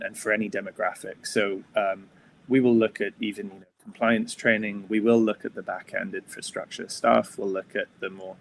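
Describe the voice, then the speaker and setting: A middle-aged man with a low, gravelly voice that stays level in pitch. He introduces himself haltingly, stumbling over filler sounds and restarting his sentences, with a faint hiss of noise behind him. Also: a bit bright, rough recording. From Switzerland. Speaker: venture capital investor. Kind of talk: panel self-introduction.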